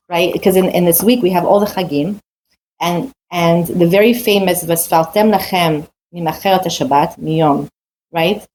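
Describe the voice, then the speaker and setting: A woman's voice: mid-range voice, mellow, a little hiss or hum, rough recording. An adult woman teaching a weekly Torah portion in a casual, earnest way, with frequent restarts and rhetorical questions. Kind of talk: casual spoken Torah talk.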